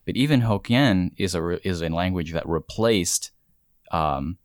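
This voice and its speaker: A man's voice: low voice, animated, a little slow, no echo, clean studio sound. A man leading a casual group conversation, reflective and asking the others questions. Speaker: conversation leader.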